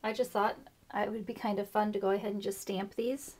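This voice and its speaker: A woman hosting a casual craft tutorial, explaining her steps in a chatty way with fillers, her voice a little rough this morning. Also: medium pitch, steady tone, a little fast, darkish tone, slight background noise. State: slightly rough voice. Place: craft table indoors.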